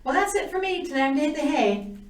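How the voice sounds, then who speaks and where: A hearty, matter-of-fact outdoorsy father, put on by a woman reading a children's book aloud. He is pitched unusually high and comes across as lively and loud. He sounds distant from the mic in a roomy space. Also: fast talker. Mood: cheerful. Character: adventurous outdoorsy father, a TV survival host.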